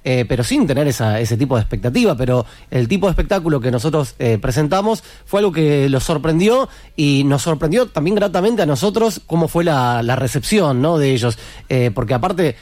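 An Argentine man speaks as a musician being interviewed. His voice sits a bit high for a man and is closely and dryly recorded. He explains things through anecdotes, often checking for agreement. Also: expressive, brisk, darkish tone, dry recording. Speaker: musician in a tribute band, interview guest. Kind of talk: conversational interview answers, anecdotes.

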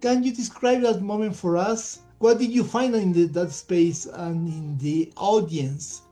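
A man hosting a radio interview with a polished, measured presenter delivery from a studio. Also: sits high, fairly even intonation, warm tone, soft background music. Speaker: radio show host. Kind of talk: radio interview, formal welcome.

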